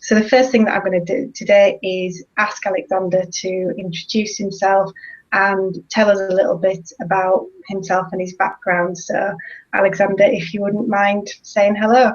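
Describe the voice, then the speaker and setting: A woman hosting a live web show, introducing the guest and explaining topics in a clear, organised way. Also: mid-range voice, level tone, moderate pace. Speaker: host, lead tutor. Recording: dull lo-fi sound.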